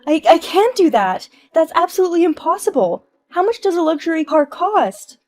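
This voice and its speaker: A woman voicing Emma, an entitled young wife who dodges blame, in a shrill, whiny and indignant voice. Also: animated, measured pace, darkish tone. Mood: indignant whining.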